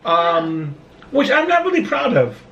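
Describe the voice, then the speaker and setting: Brooklyn-accented man, casual vlog chat, slow and halting, a bit distant from the mic. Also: high voice, sing-song, gravelly, a bit bright, roomy sound, highs cut off. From Brooklyn, New York. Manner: halting, with frequent restarts and slow stretches. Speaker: vlogger. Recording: voice a bit off-mic, faint room noise.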